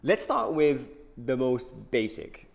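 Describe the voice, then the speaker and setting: Male host, mid-pitched, energetic presenter asking interview questions. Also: slow, gravelly. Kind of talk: upbeat channel interview.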